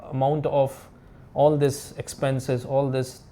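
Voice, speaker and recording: A man speaking as an expert guest in a casual interview, explaining in halting, repetitive, non-native English phrasing. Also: medium pitch, little pitch movement, mellow, slight background noise.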